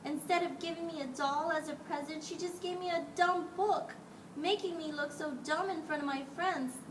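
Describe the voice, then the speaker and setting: A woman's voice: extremely high pitch, steady tone, normal speed, echoey room, pitched above the performer's own voice. A woman reading the part of a young girl in a storybook, dramatic, emphatic and indignant. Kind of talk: storybook character voice. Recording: distant mic, clearly noisy background.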